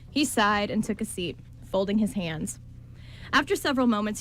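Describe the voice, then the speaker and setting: A woman's voice: medium pitch, a bit bright. A woman reading scripted story narration for an amateur radio play. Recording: clearly noisy amateur recording.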